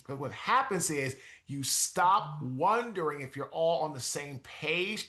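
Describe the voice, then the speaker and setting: A man hosts a conversational interview with an emphatic, motivational delivery. His voice sits a little high for a man and has a bright, forceful edge. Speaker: podcast host.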